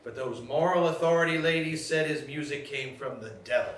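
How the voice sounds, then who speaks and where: A man's voice: on the high side, brisk, a bit bright, recorded from a distance, natural voice. A man playing a sly, folksy lawyer friend in a live screenplay table read. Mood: conspiratorial. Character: folksy, sly male lawyer friend pitching a cursed pick. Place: live stage venue. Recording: echoey, noisy live stage recording from afar.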